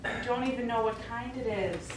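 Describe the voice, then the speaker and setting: A woman's voice: on the high side, moderate pace, lightly acted. A middle-aged woman playing a practical, concerned daughter in a live stage play, coaxing with mild exasperation. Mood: patient, exasperated. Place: small indoor theatre stage, recorded from afar. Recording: echoey theatre, distant mic, faint noise.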